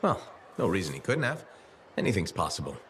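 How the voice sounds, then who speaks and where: An actor playing an easygoing freelancer hero in a video game, friendly, sympathetic and lightly wry. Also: male voice, low-pitched, very animated, brisk, a bit bright, slight background noise. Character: kindly, easygoing male freelancer player hero. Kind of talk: video game dialogue acting.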